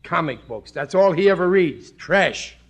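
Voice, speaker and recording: A man's voice: on the high side, lively intonation, unhurried, gravelly, husky, full character voice. A man playing a blustering, gullible, eager working-class father in a 1950 radio sitcom, stammering and ungrammatical. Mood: scornful. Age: middle-aged. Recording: vintage 1950 radio recording.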